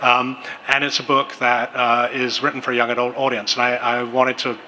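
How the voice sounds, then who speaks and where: A man moderating as an editor at a conference panel, asking long, halting questions full of fillers. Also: medium pitch, flat delivery, bright, forceful tone, slight background noise. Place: conference venue.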